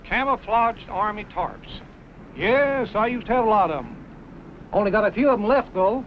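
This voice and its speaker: An actor playing a folksy country storekeeper who muses haltingly aloud, in an old-time radio western on a muffled recording. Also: male voice, high-pitched, lively intonation, soft background music. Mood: chatty, musing. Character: talkative, folksy country storekeeper, slow to recollect. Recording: muffled, faintly noisy vintage radio recording.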